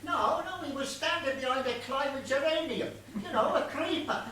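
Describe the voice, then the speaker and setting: A high-voiced, muddled gardener played by a stage actor in a comic play. The character stammers and rambles earnestly, ever deferential and literal. The sound is very echoey and far from the mic, with faint noise. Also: moderate pace, a crowd in the background, natural voice. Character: rambling, muddled, literal-minded estate gardener being questioned. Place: stage of a small theatre. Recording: distant echoey theatre recording, faint noise.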